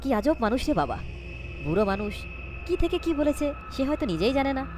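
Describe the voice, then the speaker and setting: A woman's voice: sits high, very animated, brisk, loud music behind the voice. A woman voicing a young, anxious storyteller in a cartoon ghost story, dramatic, wondering and fearful. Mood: wondering, uneasy. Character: young, anxious storyteller caught in eerie events. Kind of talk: scripted cartoon ghost-story narration and dialogue.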